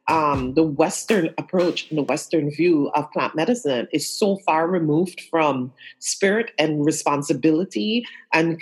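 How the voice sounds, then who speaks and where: A woman's voice: deep voice, a little hiss or hum. A woman from Guyana who moved to New York as a teenager, talking in an interview with an earnest, emphatic way of explaining through repetition. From Guyana, then Brooklyn, New York from age fourteen. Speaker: guest, herbalist. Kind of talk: conversational interview.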